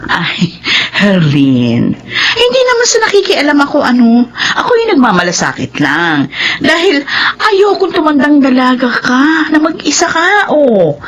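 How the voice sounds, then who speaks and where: Theatrical, swooping Filipina aunt. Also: female voice, high voice, wildly swooping pitch, unhurried, a bit bright, exaggerated voice. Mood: coaxing, concerned. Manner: exclaiming, theatrical, coaxing. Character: doting, teasing middle-aged aunt, meddling but caring. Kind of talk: radio drama acting.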